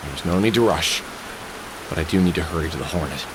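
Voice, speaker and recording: A man's voice: low voice, fast, background noise, natural voice. An actor playing a weary, wry middle-aged man haunted by lost love, musing aloud in a video game. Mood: pained. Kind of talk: video game voice acting, inner monologue and dialogue.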